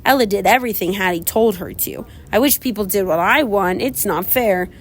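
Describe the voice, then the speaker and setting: A woman reading aloud as a sulky, self-pitying young girl who speaks in a slow, whiny way. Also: medium pitch, soft background music, lightly acted.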